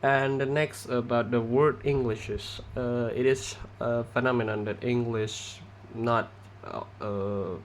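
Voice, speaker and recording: Slow, halting Indonesian-accented man. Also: mid-range voice, fairly even intonation, a little hiss or hum. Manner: halting, many pauses and restarts. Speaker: student presenter. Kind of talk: class presentation.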